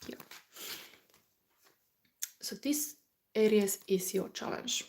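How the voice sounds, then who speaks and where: A woman's voice: mid-range voice, takes it slowly, darkish tone. A woman from Finland with a Finnish accent, speaking non-native English in a rambling, word-searching way as she gives a casual psychic tarot reading to viewers.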